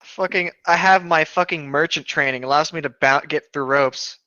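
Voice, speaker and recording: Male co-host, forceful clear tone, casual story reading and banter, slightly dull dry recording. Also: fairly high pitch, moderate pace, no echo. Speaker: co-host of a comedic fan fiction reading show. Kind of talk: casual read-aloud with commentary.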